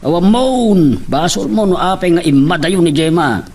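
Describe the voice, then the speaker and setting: A man's voice: high voice, animated, moderate pace, a little mellow, recorded from a distance. A man playing an older father who advises and chides, in an Ilocano-accented audio drama. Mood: chiding. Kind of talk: Ilocano radio-style drama acting. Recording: voice off the mic.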